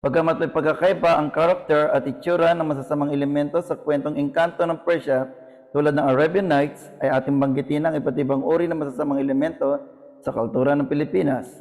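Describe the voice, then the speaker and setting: Lalaking Pilipinong tagapagsalaysay, medyo mataas ang boses. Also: male voice, on the high side, fairly even intonation, fast talker, a little mellow, in a large hall. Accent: Filipino. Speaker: narrator, channel host. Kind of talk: scripted educational narration.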